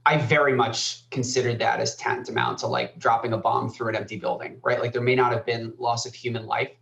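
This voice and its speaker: A man from the United States, a guest on a podcast, speaking thoughtfully with halting pauses and many fillers. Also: mid-range voice, steady tone, gravelly, fairly bright tone, roomy sound, muffled recording. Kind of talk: casual podcast conversation.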